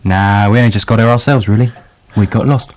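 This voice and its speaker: A man's voice: lowish voice, steady tone, brisk, a little mellow, natural voice. A man playing a wolf's mild, matey sidekick in a comic radio play. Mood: offhand. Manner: offhand, matey.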